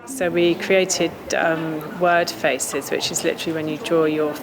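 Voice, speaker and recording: A woman's voice: low-pitched, level tone, measured pace, a crowd in the background, rough recording. A woman leading a community arts project, explaining its sessions with a few hesitations. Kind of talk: documentary narration.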